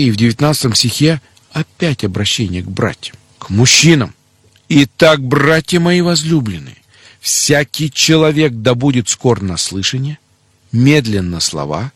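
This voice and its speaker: A man's voice: medium pitch, husky, no echo. A middle-aged man preaching in a didactic, structured way, often putting rhetorical questions to his listeners. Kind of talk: sermon on family life, scripted teaching.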